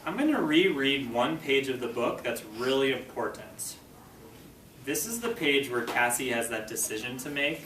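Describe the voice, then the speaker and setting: A male teacher addresses a class with clear, step-by-step direction, moving between instruction and reading aloud. His mid-pitched voice is picked up from across a roomy classroom, with faint noise in the recording. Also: lively intonation, normal speed, a bit bright, far from the mic. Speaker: fifth grade teacher. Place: indoor school classroom.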